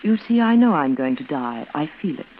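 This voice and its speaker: An actress playing a cold, bitter, reclusive twin sister with a clipped, severe manner in an old-time radio suspense drama. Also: female voice, deep voice, sing-song, brisk. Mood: aloof, resolute. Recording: noisy, tinny vintage radio broadcast.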